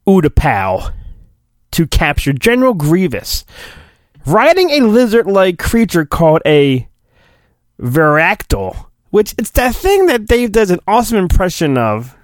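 A man's voice: a little high, sing-song, a little slow, no echo, studio quality. A male podcast host giving a mock-dramatic storybook reading, with hammy emphasis and rambling joking asides. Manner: hammy, exaggerated emphasis, digressive.

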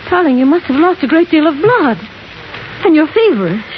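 An actress playing an anxious, insistent young fiancée, urgent and questioning, in a 1950s radio drama. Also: female voice, sits high, big pitch swings, a little fast. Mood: worried. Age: young adult. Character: insistent, anxious fiancée in her early twenties. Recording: dull, very noisy 1950s radio recording.

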